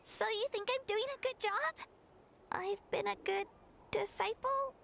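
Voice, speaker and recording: A woman's voice: extremely high pitch, a little slow, creaky, fairly bright tone, slight background noise, exaggerated voice. An actress voicing a sincere, shy young girl training hard as a warrior in a game, with music behind. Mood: earnest. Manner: sincere, shy, eager to please.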